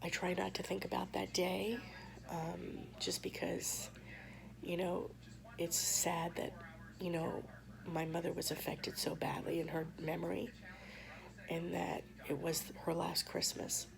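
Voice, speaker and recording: A woman vlogger losing her voice to hoarseness, telling a personal story to camera in a soft, halting way with many pauses. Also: fairly low pitch, completely flat delivery, crowd noise, poor audio.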